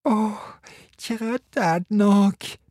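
A man's voice: medium pitch, dark tone, no room sound, studio quality, lightly acted. A man voicing a jealous, sulky young man who whines and pleads with his lover. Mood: tearful. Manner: whiny, pleading.

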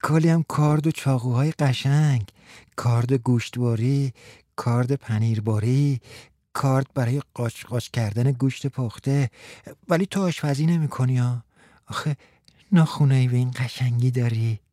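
A man's voice: mid-range voice, mellow, no echo, clean studio sound, close to an everyday voice. A man voicing a jealous, sulky young man who whines and pleads with his lover. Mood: eager. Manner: whiny, pleading.

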